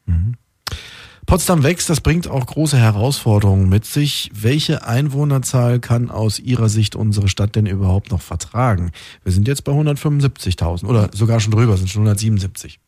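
A man's voice: on the low side, lively intonation, brisk, dark tone, breathy edge, dry recording, studio quality. A man hosting a radio interview, putting questions and addressing listeners in a conversational way.

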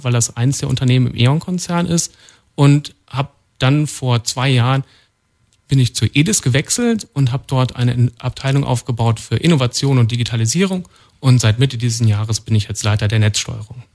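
Male guest from North Rhine-Westphalia, calm, matter-of-fact, mid-pitched. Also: brisk. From North Rhine-Westphalia, Germany. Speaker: studio guest, department head. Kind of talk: radio interview answers. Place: radio studio.

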